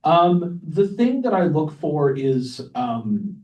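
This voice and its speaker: A man's voice: a little high, very animated, slow, darkish tone, muffled recording. A man on a panel answering casually and informally, thinking aloud as he goes. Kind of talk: casual unscripted answer to an audience question. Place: echoing library event room. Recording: roomy, distant microphone.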